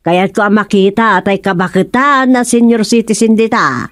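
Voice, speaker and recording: A woman's voice: medium pitch, normal speed, no echo, natural voice. A woman with an Ilocano accent playing a chatty, eager wife in a radio comedy drama.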